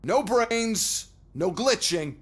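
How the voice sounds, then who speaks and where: A scornful corporate executive with a slightly high male voice. He grandstands and condescends, sarcastic and very pleased with himself, in video game cutscene style. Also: lively intonation, takes it slowly, heavily acted. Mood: smug, dismissive. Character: smug, scornful corporate robotics executive, man.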